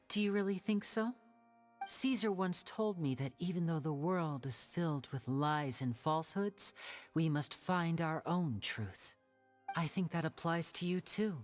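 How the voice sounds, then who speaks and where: A woman playing a suave, calm young male stage magician in a video game, speaking in a polished, theatrical way. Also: low voice, slow. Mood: knowing, pointed. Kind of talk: dramatic video game voice acting. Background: background game music.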